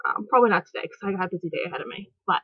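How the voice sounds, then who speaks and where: Young woman, casual vlogger, giggly and rambling, mid-pitched, close dry lo-fi mic. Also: rapid-fire, slightly rough, no room sound. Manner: chatty, giggly, lots of "like" and "um". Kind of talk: casual movie review chat. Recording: dull lo-fi home recording.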